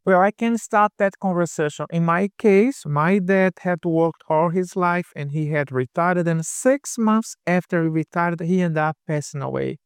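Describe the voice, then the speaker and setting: Man, fifties, Brazilian Portuguese accent, native Portuguese speaker, podcast co-host, casual, somewhat high-pitched. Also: normal speed, few pauses, smooth, no room sound, clean studio sound. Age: 50s. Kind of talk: casual chat.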